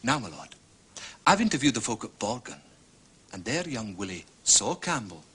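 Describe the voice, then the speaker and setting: An actor playing a methodical, proud Scottish police inspector in a period detective drama, speaking precisely and courteously with a Scottish accent. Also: male voice, lowish voice, sing-song, moderate pace, fairly bright tone, husky, full character voice.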